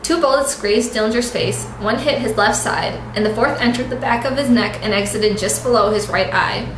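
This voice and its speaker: A woman's voice: a little high, moderate pace. A woman reading a scripted report as a reporter in a mock 1930s newscast. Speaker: news reporter. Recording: echoey room with clear noise.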